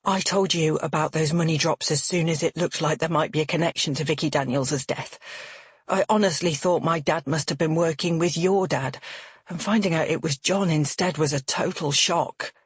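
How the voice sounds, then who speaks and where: A woman's voice: deep voice, moderate pace, husky, no echo, studio quality. A woman voicing an exasperated, defensive son in an audiobook, protesting his innocence with earnest urgency. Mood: earnest pleading.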